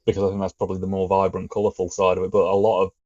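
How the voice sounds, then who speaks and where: A man chatting about a review in a casual, measured way, with wry understatement. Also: low voice, completely flat delivery, a little mellow, dull audio.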